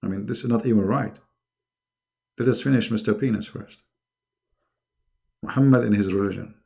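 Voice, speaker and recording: A man's voice: fairly low pitch, normal speed, creaky, a little mellow. A man who speaks English as a second language, hosting a debate stream, sarcastic and mocking, repeating phrases for emphasis. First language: not English. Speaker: host of a religious debate stream.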